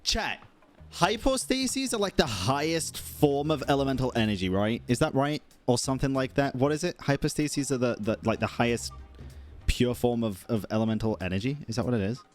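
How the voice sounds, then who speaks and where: A man's voice: medium pitch, lively intonation, normal speed. A man streaming a game and reacting with casual, rambling, slangy commentary. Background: game music.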